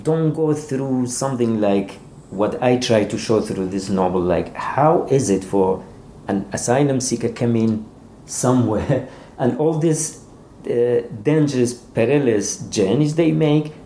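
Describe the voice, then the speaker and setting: A man being interviewed as an author, speaking haltingly and repeating words as he searches for phrasing. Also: mid-range voice, mellow. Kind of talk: interview conversation. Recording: roomy echo, faint background noise.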